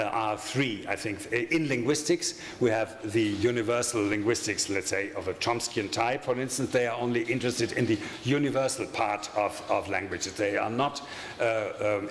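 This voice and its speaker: Older man with a German accent, academic conference lecturer, halting with fillers, roomy hall, faint room noise. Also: medium pitch. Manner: halting, many fillers and restarts, wry asides. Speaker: conference speaker. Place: lecture hall with projection screen. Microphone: desk microphone at a lectern.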